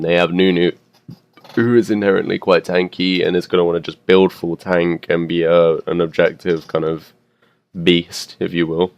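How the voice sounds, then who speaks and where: A young man commentating a custom game he also plays in, his voice a bit hoarse, rambling and correcting himself as he goes. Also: low voice, lo-fi sound. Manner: rambling, unsure, self-correcting, filler-heavy.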